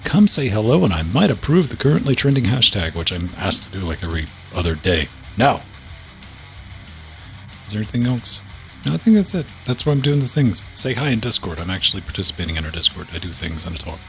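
A man hosting a podcast in casual, wry, deadpan chat with a bit of profanity, speaking into a studio mic in a home studio. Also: fairly low pitch, fast talker, gravelly, soft background music. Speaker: podcast host.

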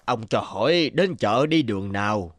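A man's voice: lowish voice, animated, normal speed, no room sound, studio quality, close to an everyday voice. A man as a composed, shrewd cloth trader. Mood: courteous. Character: calm, shrewd mysterious male cloth trader. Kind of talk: cartoon fairy-tale voice acting.

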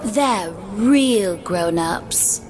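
A woman voicing a gentle, sly, coaxing winged elf girl in a dramatic comic dub. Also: mid-range voice, expressive, very slow. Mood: calm, proud. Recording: music behind the voice.

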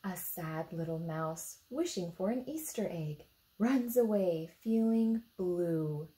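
A woman in her thirties with an American accent, reading a picture book aloud to young children expressively, pausing to ask them simple questions. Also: on the low side, animated, mellow, rough recording. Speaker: storytime reader for children. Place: indoor room beside a book display.

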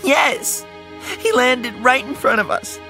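A man's voice: very high-pitched, animated, slow, creaky, music in the background, voice pushed up, full character voice. A man voicing a distraught young girl in an audiobook, tearful and insistent, with a breathless, choked delivery. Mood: panicked. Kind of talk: audiobook character acting.

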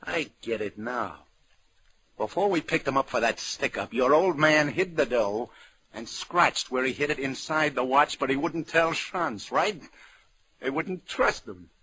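An actor playing a weary, wry New York police detective in 1940s radio style, sardonic and slangy. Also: male voice, mid-range voice, lively intonation, measured pace, sharp tone, slight background noise, low-quality recording. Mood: wry, tough. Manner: hard-boiled, sardonic, slangy. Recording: old radio broadcast with music.

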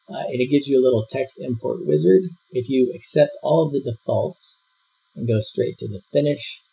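A man giving a screen-recorded software tutorial, walking through each step in a relaxed way with frequent fillers. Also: medium pitch, gravelly, slightly rough. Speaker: software instructor. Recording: dull lo-fi voice-over.

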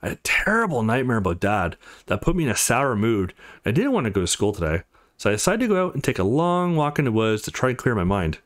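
A man's voice: mid-range voice, very animated, fast talker, natural voice. A man voicing an apologetic young male student in a visual novel, sheepish and reassuring.

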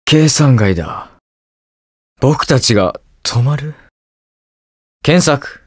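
A man's voice: mid-range voice, extremely slow, slightly rough, no room sound, studio quality, heavily acted. A young man playing a cool, inquisitive detective superhero in a video game, with precise, teasing delivery. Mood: composed, curious. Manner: calm, precise, smug and curious delivery. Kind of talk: Japanese video game voice acting, short lines.

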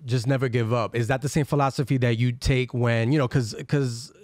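A man's voice: mid-range voice, steady tone, fairly quick, darkish tone, no echo. A man co-hosting a studio podcast, asking loose questions that he restarts often. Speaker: podcast co-host. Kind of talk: casual podcast interview. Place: studio set with neon sign. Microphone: studio mic on a boom arm.

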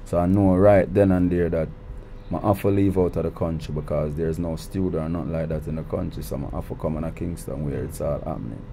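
Jamaican man, thirties, deep warm voice, restarts his sentences, casual interview talk. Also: a little fast, a little hiss or hum. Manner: relaxed, repeats words when starting sentences. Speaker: guest artist. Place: recording studio.

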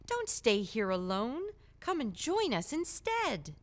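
A small young bear cub from a storybook, played by a woman reader. The voice is high and very lively in pitch. The cub is earnest and full of wonder, timid yet eager. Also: slow, full character voice. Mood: amazed. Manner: wide-eyed, wondering, earnest.

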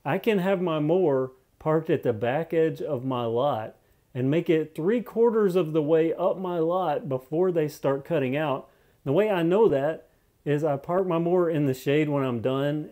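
A man's voice: fairly high pitch, mellow. A man giving a casual, unscripted product review, thinking aloud and repeating phrases for emphasis in a conversational way.

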